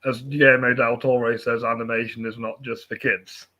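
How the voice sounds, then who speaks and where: A man's voice: mid-range voice, flat delivery, normal speed, sharp tone, lo-fi sound. A young man co-hosting a film podcast in casual, conversational chat.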